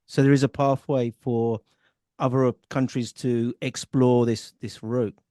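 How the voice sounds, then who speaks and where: A man's voice: medium pitch, flat delivery, measured pace, warm tone, dry recording, studio quality. A man hosting an interview podcast, introducing and questioning in a presenter's style. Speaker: podcast host and founder.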